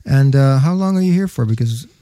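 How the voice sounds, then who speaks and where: A man's voice: mid-range voice, expressive, fairly quick, mellow, no echo, clean studio sound. A man hosting a casual music interview, hesitant, with many ums and restarts. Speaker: host.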